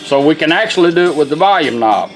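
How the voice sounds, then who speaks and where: A man's voice: a little high, expressive, fast, over music. A man giving a casual hands-on product demonstration in a plain-spoken, folksy, step-by-step way.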